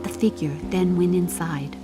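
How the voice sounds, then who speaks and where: A woman's voice: fairly low pitch, moderate pace, loud music behind the voice. A woman reading a scripted, dramatic story as an audiobook narrator.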